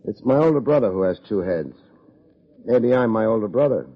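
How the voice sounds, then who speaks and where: An American actor playing a wry, self-deprecating private investigator in an old-time radio drama, with a deadpan, wisecracking delivery. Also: male voice, on the low side, normal speed. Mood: groggy, wry. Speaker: lead actor, narrator. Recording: muffled old radio recording.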